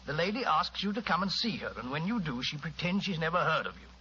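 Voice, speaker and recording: A man playing a fussy, indignant, loyal gentleman sidekick in old-time radio drama style, blustering with old-fashioned exclamations. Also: on the high side, very animated, quick pace, muffled recording, a little hiss or hum. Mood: fussy, insistent.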